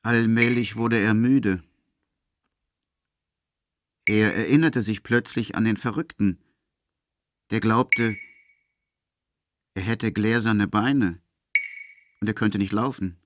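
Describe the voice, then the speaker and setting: Low-voiced man narrating a radio play. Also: fairly even intonation, takes it slowly, long pauses, noisy background.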